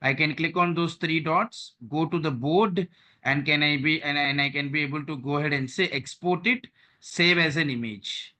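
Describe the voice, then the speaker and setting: Male trainer, bright higher voice, steady step-by-step instructions over a video call. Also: moderate pace. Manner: repeats "okay" and "right", walks through steps on screen. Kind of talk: online training session.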